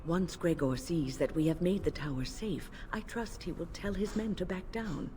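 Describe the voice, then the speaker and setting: Actress as older female mage, stern, protective, formal measured speech, fantasy game voice. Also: fairly low pitch, background noise, natural voice. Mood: grave, measured. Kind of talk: video game voice acting.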